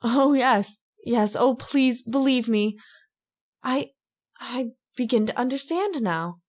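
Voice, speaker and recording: A woman playing a fearful, haunted lady in an old-time radio drama, earnest and halting, pleading as she speaks. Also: fairly high pitch, animated. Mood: bewildered panic.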